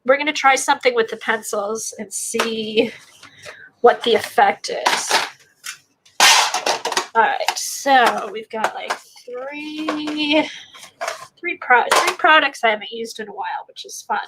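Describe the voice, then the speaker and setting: A woman's voice: fairly high pitch, slow, pauses often, very noisy. A woman with a congested, stuffy voice from a sinus infection, demonstrating a craft technique and thinking aloud as she works. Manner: rambling, thinking aloud while working. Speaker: art livestream host. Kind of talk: casual art demonstration.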